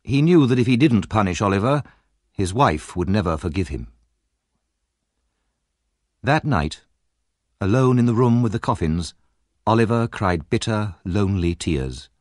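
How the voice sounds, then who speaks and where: A man narrating a story as a scripted audiobook, in a measured and expressive storytelling manner. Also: fairly low pitch, dry recording, clean studio sound.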